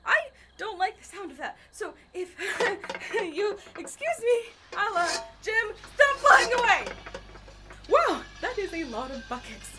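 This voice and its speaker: A woman playing a flippant, excitable young adventurer in an audio drama, chatty and sarcastic. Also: very high-pitched, a little slow, loud music behind the voice, full character voice. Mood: flippant, nervous.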